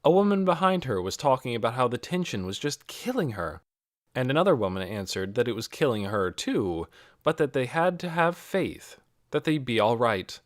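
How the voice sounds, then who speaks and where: A man's voice: medium pitch, sing-song, normal speed, no room sound, studio quality. A man reading a scripted novel aloud as an audiobook narrator. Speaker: audiobook reader.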